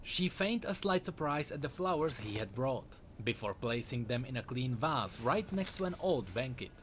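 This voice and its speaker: Expressive male storyteller with lively pitch swings. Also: normal speed, slight background noise. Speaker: story narrator. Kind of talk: dramatic scripted storytelling narration.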